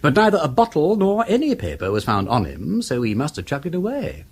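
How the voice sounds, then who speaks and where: An actor playing a breezy, gallant English aristocrat detective with an upper-class accent, foppish, chatty and self-deprecating, in British radio drama style. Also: male voice, a little high, wildly swooping pitch, a little fast, breathy edge, full character voice. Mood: brisk, determined. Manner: foppish, chatty, hesitant politeness.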